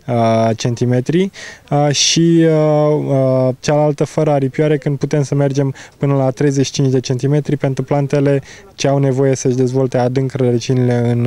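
A man's voice: mid-range voice, warm tone, smooth, no echo. A man, a product specialist explaining farm equipment in a hesitant, technical way outdoors. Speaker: agricultural machinery product specialist. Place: outdoors in an open field. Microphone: handheld interview microphone.

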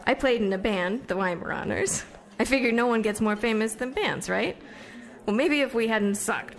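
An actress playing a chatty, self-deprecating young American woman who wants fame, telling stories on stage in an audio drama. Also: a little high. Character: chatty young Somerville woman set on becoming famous. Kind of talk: conversational stage storytelling monologue.

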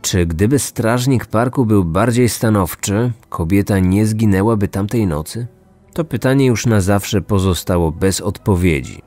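A man with a low voice delivers scripted true-crime narration as a podcast host. Music plays clearly underneath him. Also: unhurried, a little mellow. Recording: music bed behind the voice.

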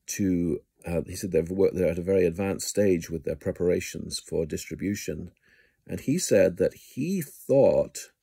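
Middle-aged man, very deep with a gravelly edge, halting um-filled commentary into a close phone. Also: mellow, no echo. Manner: halting, frequent ums and restarts. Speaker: news blogger. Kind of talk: casual video commentary. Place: indoors at home, small room. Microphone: phone camera held close.

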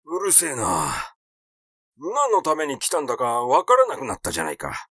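A man's voice: medium pitch, huge pitch swings, normal speed, sharp tone, no room sound, studio quality, heavily acted. A man voicing a flustered, easily irritated male doctor in a story reading, hesitant, rambling and grumbling.

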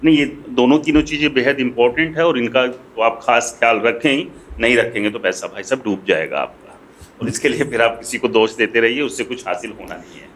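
A man's voice: medium pitch, a little fast, a bit bright, a little hiss or hum. A middle-aged man interviewing a guest in a small studio, cutting in to steer the talk. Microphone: desk mic on boom arm.

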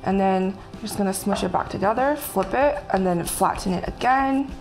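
A young woman walks through a recipe in a sunny home kitchen, giving friendly step-by-step instructions as she works. Her voice sits at an ordinary middle pitch. Light music plays underneath, and food sizzles on the grill. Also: moderate pace, darkish tone. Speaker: chef demonstrating a recipe. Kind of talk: casual cooking demonstration. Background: background music and sizzling food.

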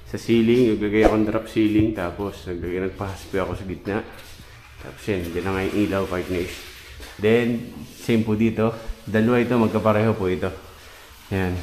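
A man's voice: fairly low pitch, noisy background. Chatty man giving a house tour. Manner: chatty, points things out with repeated fillers. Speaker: contractor showing a renovated house. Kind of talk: casual walkthrough vlog. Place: outdoors on a street and inside an empty house. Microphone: handheld action camera.

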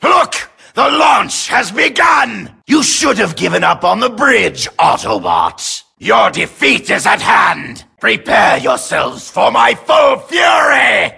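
A treacherous robot villain from a video game, shrill and badly rasping. He is boastful and sneering, swooping wildly from snarls to shrieks as he taunts his enemies. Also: sing-song, slow, pushed and bright, shouting, a faint crowd, heavily acted. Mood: furious, gloating. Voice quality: raspy, screechy. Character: arrogant, shrieking villainous male robot warlord's lieutenant.

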